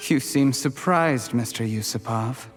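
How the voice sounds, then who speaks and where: An actor playing a cold, calculating official turned poisoner in a video game, gloating in measured, mockingly polite monologue. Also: male voice, mid-range voice, music in the background, close to an everyday voice. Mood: cold, defiant. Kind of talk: scripted video game voice acting.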